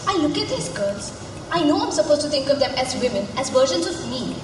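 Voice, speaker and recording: A woman's voice: high voice, very animated, fairly quick. An actress playing a tense, weary academic woman in a stage play. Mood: wistful. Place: stage in a reverberant hall. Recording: echoey hall, distant mic, noisy.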